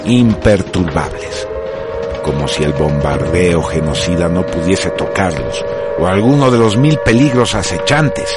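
A man narrating a radio drama in a solemn, measured, literary manner. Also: deep voice, animated, over loud music.

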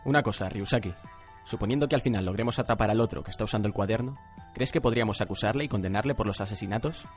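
A man's voice: on the low side, rapid-fire, soft background music, lightly acted. A man playing a cunning, self-righteous young vigilante in a radio drama, controlled and insistent. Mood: composed. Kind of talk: radio drama adaptation of anime.

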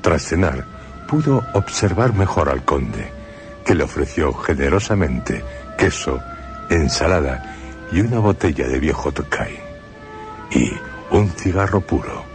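A man presenting a radio drama with scripted narration and measured, dramatic pauses. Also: very deep voice, very animated. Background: music.

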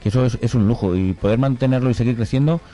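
A man's voice: medium pitch, fast, mellow, faint music behind. A man hosting a radio show, chatty and prompting his guests with tag questions. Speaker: radio host. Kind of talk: radio magazine interview.

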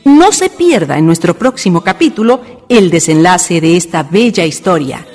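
A woman's voice: on the low side, lively intonation, a little mellow. Woman narrating a radio drama. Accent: Chilean. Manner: measured announcer delivery.

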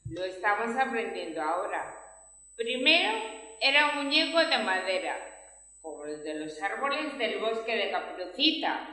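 A woman as a bright, lively preschool child. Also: a little high. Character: chatty four-year-old preschool child, proud and curious. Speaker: preschool teacher. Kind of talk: children's audio story dialogue. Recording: echoey reverberant room.

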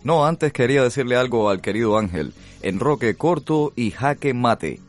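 A man's voice: medium pitch, lively intonation, moderate pace. A man with a Cuban accent, speaking as a podcast co-host and announcer. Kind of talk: podcast talk and spot narration.

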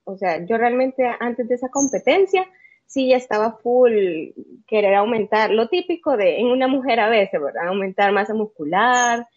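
A woman's voice: a little high, expressive, highs cut off. A woman in her early thirties with a Salvadoran Spanish accent, answering casually as an interview guest, with frequent hesitations. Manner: halting, many hesitations and trailing phrases. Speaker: podcast guest, amateur bodybuilder.

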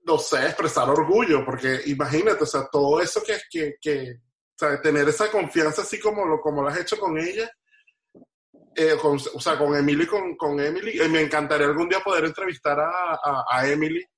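A man's voice: a little high, brisk, a bit bright. A man hosting a casual interview, stumbling over his phrasing as he asks questions. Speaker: interviewer host.

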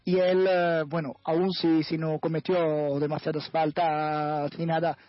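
A man's voice: fairly high pitch, little pitch movement, unhurried. A man whose first language is Italian, analysing football on a radio show in Spanish, hesitating often and searching for words. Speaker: guest football commentator. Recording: dull, lo-fi radio sound.

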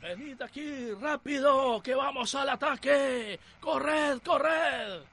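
A man voicing a frantic, excitable shouter in a radio promo, with a gravelly, strained delivery. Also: very high-pitched, slow, heavily acted. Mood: frantic, excited. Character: frantic excitable man: battle leader, shouting football commentator. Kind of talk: radio promo acting, commentary.

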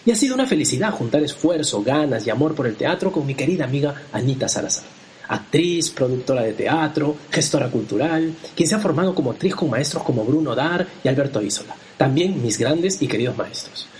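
Lively man hosting a podcast. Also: on the high side, a little fast, a little hiss or hum. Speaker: host and director. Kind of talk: scripted podcast introduction.

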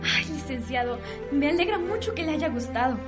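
A woman's voice: sits high, animated, fairly quick, over loud music. A woman playing a gushing, deferential housemaid in a radio soap opera, with a Mexican accent. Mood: flattered, surprised. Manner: gushing, exclamatory, servile. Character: excitable, deferential housemaid, eager and admiring.

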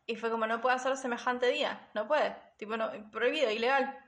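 A woman's voice: a little high, steady tone, brisk, fairly bright tone, roomy sound. A woman in her twenties with a Rioplatense Argentine accent, chatting casually as a podcast co-host, laughing easily and filling her talk with fillers. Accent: Rioplatense Spanish. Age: 20s. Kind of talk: casual chat between friends.

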